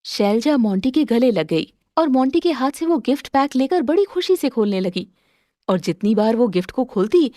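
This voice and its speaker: A woman narrating a scripted cartoon moral story with expressive, dramatic storytelling. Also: on the high side, normal speed, dry recording, studio quality.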